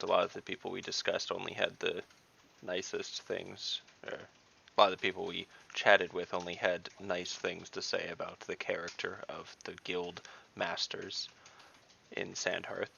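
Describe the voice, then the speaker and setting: A man's voice: low-pitched, creaky, bright, forceful tone. A man playing an online tabletop role-playing game, improvising his character's talk tentatively, piece by piece, over a lo-fi call.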